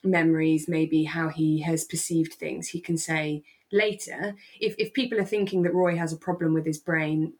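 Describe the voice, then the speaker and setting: A woman's voice: sits low, fairly even intonation, normal speed, hardly any pauses, a little mellow. A woman co-hosting a podcast, talking casually and reflectively as she explains her take on a radio drama. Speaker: podcast co-host.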